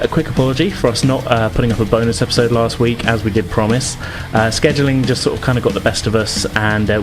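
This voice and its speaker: A man hosting a tabletop gaming podcast as game master, talking in an informal, conversational way. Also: medium pitch. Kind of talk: casual podcast intro, recap and game narration.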